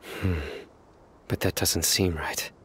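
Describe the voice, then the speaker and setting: Serious desert lawman for a video game: deep, calm, measured, methodical. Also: very noisy. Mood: suspicious. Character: stern, calm, analytical male desert enforcer. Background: music.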